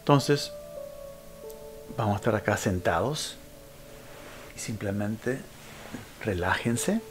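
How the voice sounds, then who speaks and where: A man with a fairly deep voice leads a guided meditation. He speaks very slowly, in short instructional phrases separated by pauses. Music plays clearly behind him. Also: animated. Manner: unhurried instructional delivery with frequent pauses. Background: background music.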